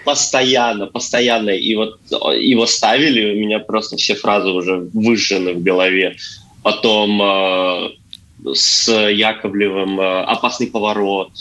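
A young man casually reminiscing as an interview guest, halting and repeating words for emphasis. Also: on the low side, fairly even intonation, pushed and bright, rough recording. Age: young adult. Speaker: guest, actor and stage designer.

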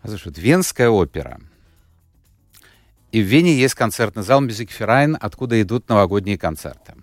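Low-voiced man rambling in an interview. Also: sing-song, moderate pace, no room sound, clean studio sound, soft background music. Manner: rambling, with many fillers and restarts. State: unwell, recovering. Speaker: radio host, guest here. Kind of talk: relaxed conversational interview.

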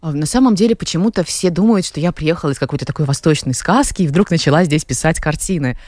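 A woman from Latvia, a guest artist in a radio studio interview, talking in an articulate, reflective way with soft laughs. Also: low voice, fast, no echo. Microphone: studio microphone.

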